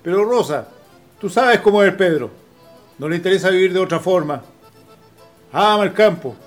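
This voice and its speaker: An actor playing a resigned, kindly older landowner with a Chilean accent and a paternal, colloquial manner, in a radio drama. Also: male voice, high-pitched, expressive, normal speed, faint music behind.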